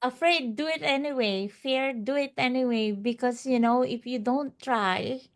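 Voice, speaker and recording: A woman with a fairly high voice speaks as a guest promoting an online business. English is not her first language, and she talks off the cuff in an earnest, motivational way, circling back over phrases for emphasis. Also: a little slow. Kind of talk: unscripted motivational testimonial.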